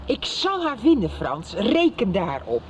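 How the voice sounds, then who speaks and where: An actress playing a stern, fearless sister-in-law in a Dutch radio soap. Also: female voice, mid-range voice, huge pitch swings, unhurried, slight background noise. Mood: defiant.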